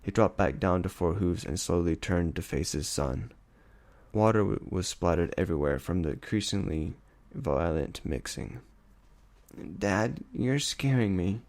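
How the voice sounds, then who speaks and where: Low-voiced man narrating a story. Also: normal speed, a little mellow, no echo. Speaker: audiobook reader. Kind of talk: dramatic scripted story narration.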